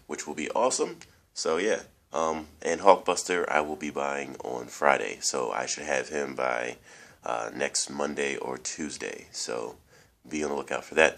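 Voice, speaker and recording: A young man with an extremely low, deep voice, stuffed up and congested from allergies. He rambles loosely through a home toy review, full of fillers, and keeps calling his viewers "guys.". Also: creaky, fairly bright tone. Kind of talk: casual toy review vlog. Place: room at home, indoors.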